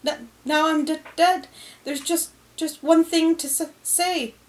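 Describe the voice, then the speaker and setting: A woman voicing a stammering ghost of a henpecked older husband in a storybook. He speaks high and loud, tripping over his words as years of frustration spill out. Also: fairly even intonation, unhurried, recorded from a distance, soft background music. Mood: exasperated.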